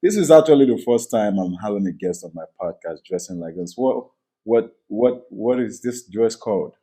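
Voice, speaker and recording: A man's voice: on the low side, lively intonation, moderate pace, warm tone, husky. A man hosting a podcast in his studio, talking earnestly and rambling with many fillers. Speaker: podcast host. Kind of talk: podcast interview.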